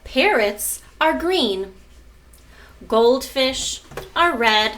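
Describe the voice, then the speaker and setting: A woman with a bright, fairly high voice speaks slowly and instructively, like a teacher guiding children through a lesson or picture book. She sounds some way from the mic, with noise behind her. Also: lively intonation, far from the mic. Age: adult. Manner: instructive, prompting children with questions. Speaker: art teacher and mother. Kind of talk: lesson narration and picture book read-aloud. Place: at home.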